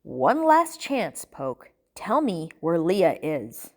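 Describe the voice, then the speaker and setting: A woman voicing an exasperated, menacing bartender man interrogating a captive, with slow, deliberate, threatening delivery in an audiobook story. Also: fairly low pitch, sing-song.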